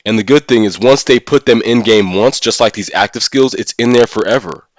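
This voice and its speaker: A man's voice: on the low side, brisk, no room sound. A man who streams games, talking casually and giving his opinions as he thinks aloud. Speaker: live streamer and gaming content creator. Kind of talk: casual livestream discussion of a mobile game.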